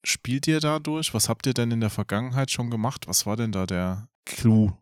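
A man's voice: medium pitch, normal speed. A man asking questions as the interviewer in a casual conversation.

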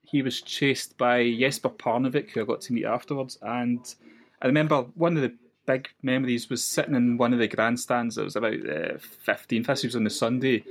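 A man's voice: mid-range voice, normal speed. Scottish podcast guest in his thirties, easygoing storyteller. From west coast of Scotland. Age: 30s. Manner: chatty, reminiscing with frequent restarts and laughs. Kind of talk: casual chat.

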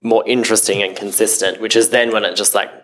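A man with a fairly low voice chats as a podcast guest. He sounds easygoing and loose, filling his speech with "like". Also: flat delivery, quick pace, noisy background. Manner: easygoing, says "like" a lot. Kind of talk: casual podcast chat.

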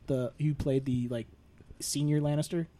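A man with a fairly high-pitched voice speaks as a podcast co-host. He keeps it casual and conversational, feeling his way through sentences in a halting manner. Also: steady tone, crowd noise. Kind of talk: casual group chat.

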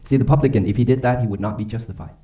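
Man, pastor, very dark mellow tone, earnest sermon delivery, emphatic, mid pitch. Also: racing. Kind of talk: sermon and closing prayer.

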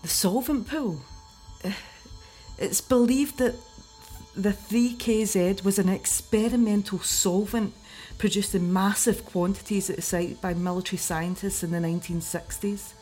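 A woman's voice: medium pitch, measured pace, mellow, soft background music, natural voice, rough recording. A woman with a Scottish accent acting in a radio drama as an anxious investigator. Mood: focused reading. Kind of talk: radio drama, reading research aloud.

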